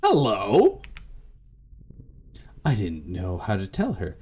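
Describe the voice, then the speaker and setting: A man's voice: lowish voice, lively intonation, measured pace, a little mellow. A man reading a visual novel aloud as a let's player, narrating dramatically. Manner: dramatic, rereads stumbled lines. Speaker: let's play YouTuber. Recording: music playing under the voice. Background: game music.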